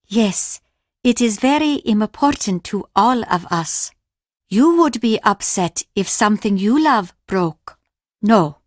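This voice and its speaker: A woman playing a grieving older Japanese innkeeper mother in a video game, reserved and stern, speaking in a measured, formal way. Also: fairly high pitch, no echo, clean studio sound. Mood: guarded, firm. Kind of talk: video game character acting.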